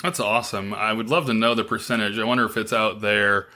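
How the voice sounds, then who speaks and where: A man's voice: fairly low pitch, little pitch movement, fairly quick, bright, forceful tone. A man hosting an interview podcast in a casual, upbeat way, with plenty of filler words. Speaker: podcast host.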